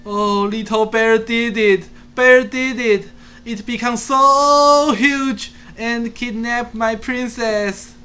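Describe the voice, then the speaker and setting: A man playing a high-voiced, lovesick little dinosaur. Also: steady tone, slow, sharp tone, faint music behind, full character voice. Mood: pleading. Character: kind, lovesick little male dinosaur, humble and earnest. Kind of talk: amateur puppet show acting.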